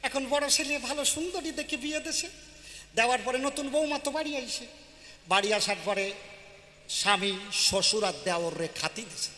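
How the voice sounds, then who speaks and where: Village guy telling funny stories, bright voice, repeats himself, big echoey hall. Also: very high-pitched, normal speed. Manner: repeats phrases, checks in with listeners. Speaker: folk performer telling comic stories. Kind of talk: conversational comic stage storytelling. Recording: very echoey hall, faint noise.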